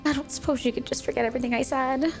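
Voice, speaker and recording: Woman acting a high-voiced, clingy snow-woman girlfriend. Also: fairly even intonation, faint music behind. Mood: sweet, doting. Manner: sugary, coy, affectionate, unhurried. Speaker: ASMR roleplay performer. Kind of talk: ASMR romantic roleplay, addressed to the listener.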